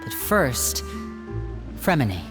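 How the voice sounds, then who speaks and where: An actor playing a confident, theatrical young man, a scheming big brother in a video game, with a showy, smooth-talking manner. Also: medium pitch, very animated, slow, over music. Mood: conspiratorial.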